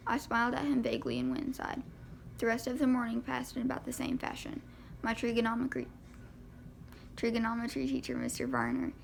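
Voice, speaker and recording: A woman reads a novel aloud in an informal, amateur way, tripping over words here and there. Her pitch is a little high and moves around quite freely, with a heavy creak running through it. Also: a little hiss or hum, rough recording. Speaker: amateur audiobook reader. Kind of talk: reading a first-person novel aloud.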